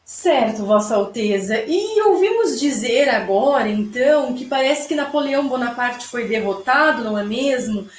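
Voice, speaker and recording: A woman's voice: a little high, expressive, moderate pace, hardly any pauses, lightly acted. Woman as a deferential news interviewer. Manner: deferential, formal questions. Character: formal newspaper interviewer, adult woman. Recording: echoey room.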